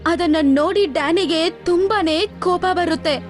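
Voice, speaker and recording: A woman's voice: extremely high pitch, normal speed. A woman narrating a scripted animal cartoon story as its storyteller. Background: light background music.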